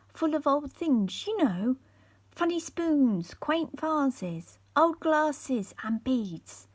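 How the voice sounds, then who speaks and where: A woman's voice: high-pitched, pitched above the performer's own voice, exaggerated voice. A woman voicing a thoughtful, eager young girl with a musing manner in a bedtime story.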